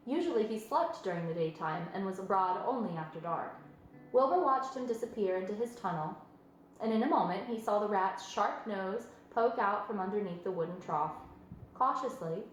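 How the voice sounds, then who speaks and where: A woman reading a children's book aloud as an expressive storyteller. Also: medium pitch. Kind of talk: expressive scripted narration. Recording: very echoey room with faint noise.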